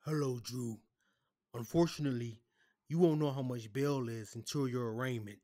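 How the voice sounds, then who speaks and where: Man playing measured, formal male attorney. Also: medium pitch, warm tone, natural voice. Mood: apologetic, measured. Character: composed, apologetic corporate defense lawyer delivering bad news. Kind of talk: audio drama acting.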